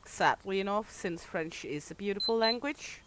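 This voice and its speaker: A woman's voice: medium pitch, moderate pace. A middle-aged woman speaks English with a thick Danish accent, her voice in a plain middle register. She chats candidly with her livestream audience as she plays, answering them as she goes. A faint hiss sits under the recording. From Denmark. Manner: chatty, candid, reads and answers chat aloud. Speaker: streamer. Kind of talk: casual livestream chat while gaming.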